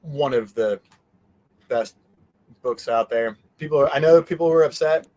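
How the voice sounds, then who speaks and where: A man with a medium-pitched voice chats casually as an interview guest. He rambles in a joking way, often breaking off and restarting his own thought. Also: unhurried, a little mellow, rough recording. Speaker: interview guest, convention organizer. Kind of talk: casual fan chat.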